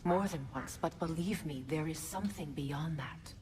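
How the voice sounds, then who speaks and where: An actress playing a proud, resolute warrior goddess in a video game, earnest and deliberate. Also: female voice, sits low, a little mellow, faint music behind, natural voice. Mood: earnest, encouraging. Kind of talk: dramatic video game acting.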